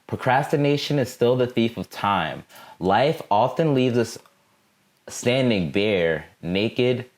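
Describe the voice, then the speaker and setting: A man giving a solemn, measured dramatic reading of a speech in an oratorical style. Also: on the low side. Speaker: reader in a student theatre performance.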